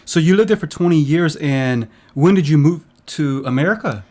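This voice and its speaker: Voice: medium pitch, animated, normal speed, a little mellow. An interviewer asking curious questions in a casual chat and reacting to the answers.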